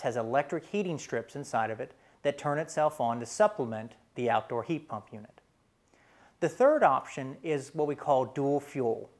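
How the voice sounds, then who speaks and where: A man in his thirties with an American accent presents a scripted explainer in a plain, instructional way. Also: medium pitch. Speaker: presenter for a home heating and cooling company.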